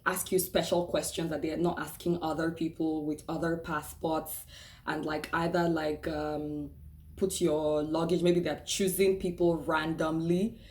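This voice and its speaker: A woman with a Nigerian accent speaks in a fairly low voice, chatting openly to her camera. Her talk is loose and conversational, full of "like" and "um", and she breaks into laughter and acts out other people's voices. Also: darkish tone. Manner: chatty and candid, with frequent "like" and "um" and restarts. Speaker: vlogger. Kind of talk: casual personal vlog talk.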